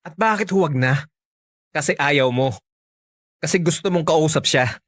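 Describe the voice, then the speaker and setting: A woman's voice: low-pitched, unhurried, dry recording, clean studio sound. A woman playing a jealous, possessive young Filipino lover in a radio drama, insistent and interrogating. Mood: jealous, angry.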